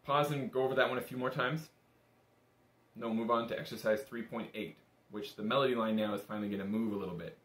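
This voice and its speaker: A man's voice: fairly low pitch, steady tone, quick pace, fairly bright tone. A young man giving a casual, patient, step-by-step music lesson in an informal, encouraging way. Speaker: bagpipe teacher. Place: small indoor room. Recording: echoey small room.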